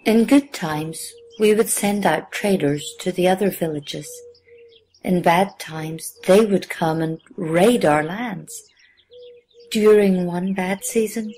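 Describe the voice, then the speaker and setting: A woman playing a gracious, solemn priestess who leads a village, speaking formally and with measured care in an audio drama. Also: lowish voice, mellow, a little hiss or hum, poor audio. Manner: formal, measured, stately speech.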